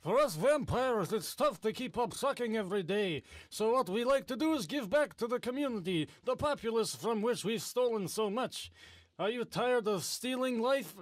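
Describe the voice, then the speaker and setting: A man playing a cheerful vampire pitchman in a comedy mock infomercial, salesmanlike and theatrical. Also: expressive, moderate pace, no room sound. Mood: cheery selling. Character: male vampire pitchman, cheerfully selling to fellow undead.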